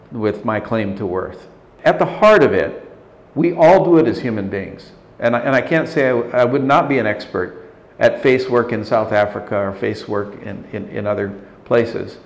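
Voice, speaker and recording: A man in his sixties, an interview guest, talking in a measured, thoughtful way with frequent restarts in an office meeting room. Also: mid-range voice. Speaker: interview guest, leadership consultant. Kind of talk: reflective interview talk. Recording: roomy indoor sound.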